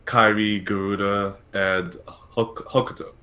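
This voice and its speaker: Slow-talking man hosting a gaming show. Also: fairly low pitch, little pitch movement, bright, forceful tone. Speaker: podcast host. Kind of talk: casual gaming talk show discussion.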